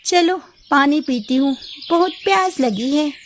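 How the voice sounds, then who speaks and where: A woman's voice: high-pitched, measured pace, a little hiss or hum, voice pushed up. A woman voicing a small, kind female bird with a childlike, chatty manner in a children's cartoon story. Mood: musing, grumbling.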